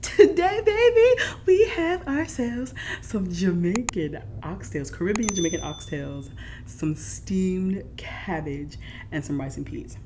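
A middle-aged woman hosting a casual mukbang vlog at home, lively and chatty, sometimes dropping to soft whispers. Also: mid-range voice, slow, a little hiss or hum. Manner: animated, shifts to soft whispers while eating. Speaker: food vlogger. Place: living room at home, indoors.

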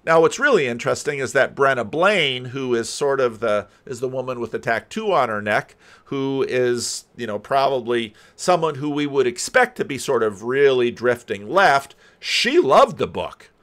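An older man giving casual, rambling commentary as a host, halting and thinking aloud. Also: medium pitch, lively intonation, fairly bright tone. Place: home room lined with books. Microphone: desk microphone, close, with headphones.

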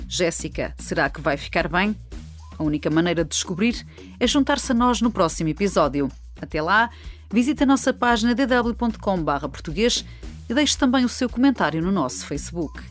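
A woman's voice: mid-range voice, lively intonation, a little fast. Female presenter over faint music. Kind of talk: scripted programme outro.

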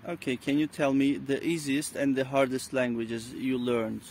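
A man's voice: medium pitch, flat delivery, moderate pace, a little mellow, slight background noise. A man asking short, hesitant interview questions from off camera outdoors.

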